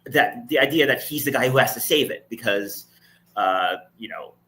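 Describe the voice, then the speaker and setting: A man talking conversationally as a panelist on a live show, earnest and a little halting as he repeats words. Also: medium pitch, a bit bright, poor audio.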